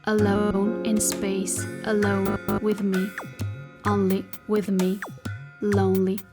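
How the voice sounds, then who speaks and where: A woman's voice: medium pitch, monotone, slow, music in the background, lightly acted. A woman voicing a talkative, possessive AI spacesuit companion in a science-fiction audio drama, musing and repeating herself as she speaks intimately to her listener. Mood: wistful, lonely. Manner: musing, repetitive, intimate direct address.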